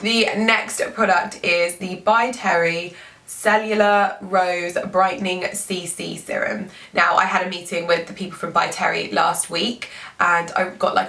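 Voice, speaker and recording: Young woman with a blocked-up cold voice, bright tone, chatty beauty vlogger, echoey room, mic a bit back. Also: fairly low pitch, vocal fry, recorded from a distance. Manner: chatty, frequent "like" and "um". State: congested, lost voice, sore throat. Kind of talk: casual product review.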